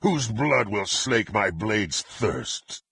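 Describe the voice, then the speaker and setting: Gravelly, menacing brute warrior. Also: male voice, lowish voice, animated, extremely slow, bright, forceful tone, slight background noise, heavily acted. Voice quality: gravelly, raspy. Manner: threatening, imperious, archaic formal diction. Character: brutal, menacing half-orc warrior serving a demonic patron. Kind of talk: fantasy video game character acting.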